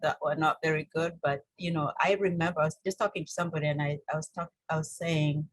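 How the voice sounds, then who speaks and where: A low-voiced woman with a Kenyan accent shares her story in an interview. Her voice is husky and recorded close and dry. She talks informally and haltingly, circling back with frequent "you know". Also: fairly even intonation, no room sound, low-quality recording. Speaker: interview guest, holistic health coach.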